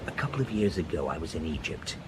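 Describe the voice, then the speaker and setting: Low, animated worldly professor. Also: male voice, fast talker, noisy background. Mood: confiding. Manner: confiding, dramatic, emphatic. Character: worldly, frank male professor recounting adventures. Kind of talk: radio drama acting, storytelling.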